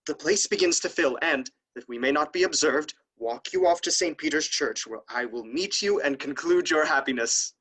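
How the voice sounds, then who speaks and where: A performer acts out a proud young nobleman of Restoration comedy, the domineering brother. The mid-pitched voice is formal and imperious, with the measured phrasing of the stage. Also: a bit bright, slightly rough, poor audio. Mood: formal, resolved. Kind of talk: theatrical Restoration play acting.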